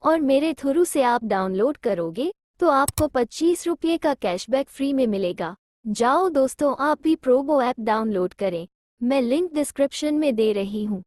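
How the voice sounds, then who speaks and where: A woman's voice: high voice, expressive, normal speed, a little mellow, no echo, clean studio sound. A woman delivering a casual scripted promotion, chatty and urging the listener directly. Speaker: promoter in sponsor segment.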